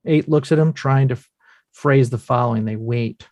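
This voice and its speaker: A man's voice: mid-range voice, moderate pace, a little mellow, dry recording. Man reading stage directions, dry sound. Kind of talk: scripted narration.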